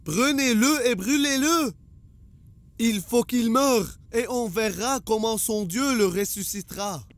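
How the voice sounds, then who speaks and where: A man's voice: very high-pitched, slow pace, full character voice. A man playing an arrogant, menacing tyrant king in a religious cartoon, imperious and commanding. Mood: wrathful. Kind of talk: dubbed religious cartoon acting.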